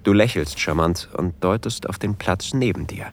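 An actor playing an introverted, easily flustered train traveler who tells of a romantic encounter in an intimate audio drama. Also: male voice, low-pitched, normal speed. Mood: intimate, longing.

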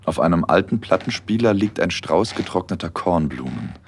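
A very deep young male voice from an actor carries an intimate romantic audio drama. He is smitten and slightly shy, softly addressing the woman he longs for as both narrator and character. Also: animated, normal speed, slight background noise. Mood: tender longing. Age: young adult.

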